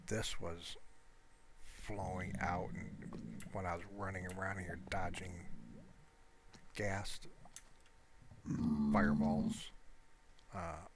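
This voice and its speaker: A man's voice: low-pitched, fairly even intonation, slow, long pauses. A man giving casual, rambling gameplay commentary, thinking aloud in an informal, hesitant way. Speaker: gamer recording gameplay commentary. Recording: loud noise with clicks behind voice.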